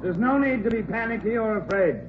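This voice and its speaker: An actor playing a police officer making a firm, measured announcement, in 1940s radio drama style. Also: male voice, high-pitched, natural voice. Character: police officer addressing a theater audience. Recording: dull old radio recording with hiss.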